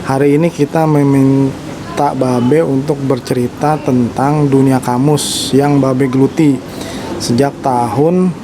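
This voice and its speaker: A man interviewing an elder at his home, speaking hesitantly and filling pauses with "eh". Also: mid-range voice, steady tone. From Indonesia. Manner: hesitant, with many fillers. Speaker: interviewer, publisher. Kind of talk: interview opening. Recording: noisy background.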